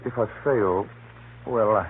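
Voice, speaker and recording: A man playing an earnest, hunted secret agent in a 1930s radio drama, halting, confiding and formally polite. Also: lowish voice. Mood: grave, confiding. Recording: tinny, hissy 1930s radio recording.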